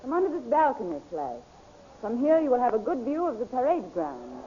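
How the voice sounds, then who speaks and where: A man's voice: extremely high pitch, huge pitch swings, fairly quick, mellow, slight background noise, heavily acted. An actor voicing a seductive, commanding alien queen of magic in a 1930s radio serial, with a coaxing, regal manner. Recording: dull old radio transcription.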